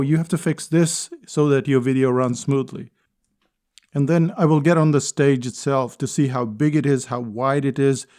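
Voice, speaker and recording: Man giving a measured spoken essay. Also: medium pitch, a little mellow. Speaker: speaker and consultant.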